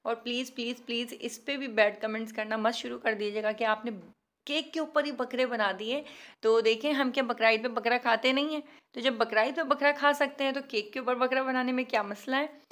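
A woman's voice: fairly high pitch, steady tone, fairly quick, a bit bright. A woman hosting a home baking tutorial in voice-over, chatty, self-mocking and pleading, laughing at her own work. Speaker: cooking channel host.

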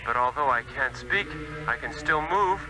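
An actor playing a skeptical, earnest doctor in a radio drama, speaking dramatically in short phrases. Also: male voice, mid-range voice, expressive, normal speed, over music, close to an everyday voice, rough recording. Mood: alarmed. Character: skeptical, earnest Western male doctor turned seeker. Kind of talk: 1960s-era radio drama acting.

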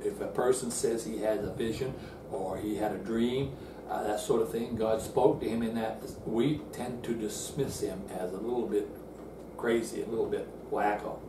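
A man's voice: on the low side, steady tone, moderate pace. An older man gives a seated Bible lesson in an earnest, explanatory way, often restarting phrases as he works through verses. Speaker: Bible teacher. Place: indoor room in front of a screen. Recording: roomy, distant, noisy room sound.

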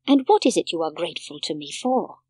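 A fatherly doctor in a storybook, tender and soothing as he speaks to a child, as a woman reader puts him on. The tone is breathy and close, with a dry sound. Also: on the low side, big pitch swings, brisk, darkish tone, dry recording, voice pushed down. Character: gentle fatherly male doctor.

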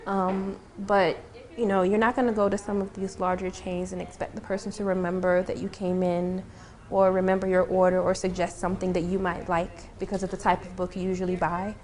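A woman's voice: on the low side, flat delivery, fairly quick, a little mellow. A woman in her thirties, a bookstore owner, talking earnestly in an interview, with frequent "um" and "you know". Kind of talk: on-camera interview. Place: small indoor bookstore.

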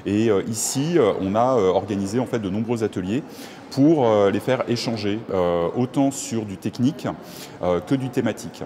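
A man in his thirties speaking in a conference interview, earnest and hesitant, pausing often with filler sounds. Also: fairly low pitch, dark tone, slight background noise. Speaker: rural network project officer. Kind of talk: conference vox-pop interview. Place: covered walkway of a conference centre.

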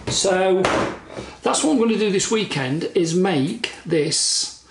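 A high, gravelly-voiced man in his forties chats loosely through a homemade gear project, trailing off and restarting as he goes. His voice comes through a camera mic at a distance, with a roomy echo and a faint hiss underneath. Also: a bit bright. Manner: rambling, chatty, with many fillers and restarts. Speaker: vlogger. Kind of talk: casual how-to vlog. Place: outdoors by a lake, then indoors in a kitchen.

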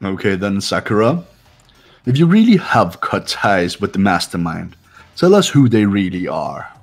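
A man's voice: on the low side, very animated, measured pace, faint music behind, heavily acted. A man voicing a haughty, suspicious young student in a visual novel game, talking in a cold, condescending, accusatory way. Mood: accusing.

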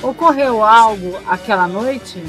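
A woman plays a devoted girlfriend who keeps gently probing, in an audio drama. Her voice is fairly high, with some music underneath. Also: measured pace, close to an everyday voice, rough recording. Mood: tender, probing.

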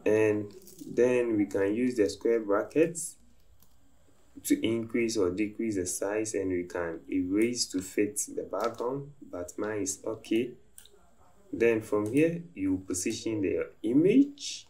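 Slow, low-voiced man teaching design software. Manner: slow, step-by-step instructive talk. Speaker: design tutorial host. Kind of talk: screen-recorded software tutorial narration.

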